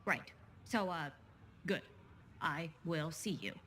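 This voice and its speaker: An actress playing an awkward, cautious fort sentinel in a sci-fi game, speaking hesitantly. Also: female voice, low voice, slow pace, a bit bright, a little hiss or hum. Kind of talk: conversational video game voice acting.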